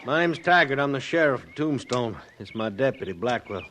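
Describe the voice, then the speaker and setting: An actor playing a self-assured American frontier sheriff with folksy authority in a radio western. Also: male voice, mid-range voice, animated, a little fast, fairly bright tone, a little hiss or hum, natural voice. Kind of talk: 1950s radio western acting.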